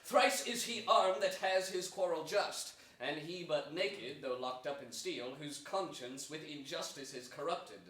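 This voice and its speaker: An actor playing a noble, hopeful young rebel earl, declaiming earnestly in a Shakespearean stage reading. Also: male voice, fairly high pitch, unhurried, few pauses, fairly bright tone. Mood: rousing. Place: theater stage before an audience, indoors. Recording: echoey theater, distant microphone.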